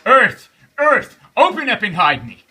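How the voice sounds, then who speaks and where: A man's voice: very high voice, huge pitch swings, measured pace, sharp tone, voice pushed up, heavily acted. A man voicing a bold young folk hero in a bedtime story, exclaiming with urgency. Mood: desperate.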